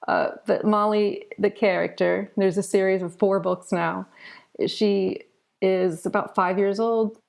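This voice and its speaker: A middle-aged woman speaks as a guest in an interview, sharing personal stories in a conversational way. Her voice sits at an ordinary pitch for a woman, with noticeable creak running through it. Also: fairly even intonation, a little slow, darkish tone. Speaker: interview guest, children's author.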